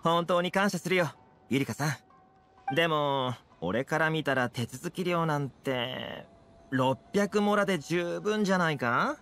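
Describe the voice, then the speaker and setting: A man voicing an easygoing, confident young fixer in a Japanese video game, talking smoothly and persuasively. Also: a little high, unhurried, fairly bright tone, faint music behind. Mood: easygoing, friendly. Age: young adult.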